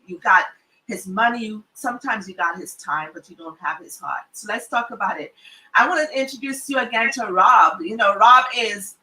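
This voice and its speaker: Bright Trinidadian woman, roomy and off-mic. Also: medium pitch, distant mic. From Trinidad and Tobago. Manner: chatty, teasing, laughs often. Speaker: host. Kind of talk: casual panel talk.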